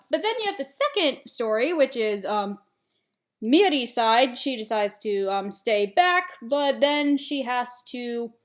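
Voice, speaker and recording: A young woman vlogging a casual book review, chatty with lots of fillers and some exasperated asides. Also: on the high side. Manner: chatty, frequent ums, rising exasperation.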